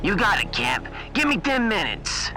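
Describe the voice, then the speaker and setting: A man's voice: sits high, wildly swooping pitch, moderate pace, music in the background, poor audio. A man voicing an eager, easygoing starship engineer with a casual, breezy manner in a sci-fi audiodrama. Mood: obliging. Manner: casual, breezy, obliging slang.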